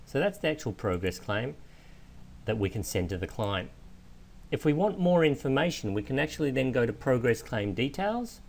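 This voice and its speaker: A man giving a methodical, explanatory software walkthrough in the manner of a trainer. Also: mid-range voice, moderate pace. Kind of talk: instructional screen walkthrough narration. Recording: clear steady background noise.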